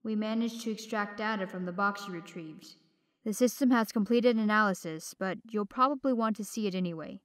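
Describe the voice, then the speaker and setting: A woman's voice: mid-range voice, fairly quick, natural voice. A woman playing a businesslike mission operator in a comic dub, matter-of-fact and cautioning. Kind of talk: dramatic comic dub acting.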